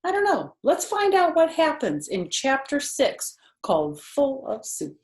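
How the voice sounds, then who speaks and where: A woman's voice: high voice, wildly swooping pitch, measured pace. An older woman reading for children, chatting conversationally and putting questions to young listeners. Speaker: children's story reader. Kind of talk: children's storytime narration. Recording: roomy, echoey home recording.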